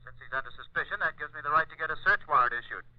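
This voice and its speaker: Guy playing a police inspector in an old radio show, talks fast, brisk and official, dull lo-fi recording. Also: a little high, close to an everyday voice. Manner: brisk, authoritative. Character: businesslike male police inspector giving orders. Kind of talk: 1940s radio acting.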